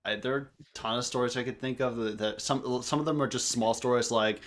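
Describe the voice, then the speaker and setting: A young man chatting casually on a group podcast, telling stories in a halting, rambling way and often repeating his phrases. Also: medium pitch. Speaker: podcast member. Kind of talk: casual group chat storytelling.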